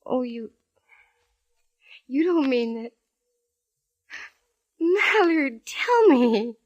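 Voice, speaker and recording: A woman's voice: sits high, lively intonation, very slow, darkish tone, exaggerated voice. An American actress playing a spirited, lovestruck woman private eye in a 1940s radio drama. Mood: delighted, coy. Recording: vintage radio recording, organ music.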